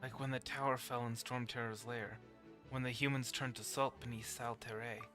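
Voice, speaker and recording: Male streamer, bright forward tone, offhand casual game commentary, faint music behind. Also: mid-range voice, fairly even intonation, moderate pace. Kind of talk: casual live gameplay commentary.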